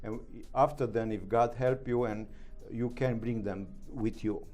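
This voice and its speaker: A middle-aged man answers interview questions in halting, broken English with a Romanian accent. He stops often to find words, filling the gaps with fillers, at an average pitch. Faint music plays underneath. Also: fairly even intonation, a little mellow. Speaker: guest.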